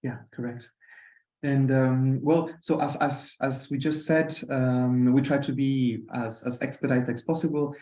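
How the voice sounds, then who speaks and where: A man's voice: medium pitch, little pitch movement, a little slow, dark tone. A man from Costa Rica with a Spanish accent, presenting informally in a webinar and often hesitating and repeating words. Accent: Costa Rican Spanish. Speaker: university program representative presenting. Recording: roomy online webinar audio.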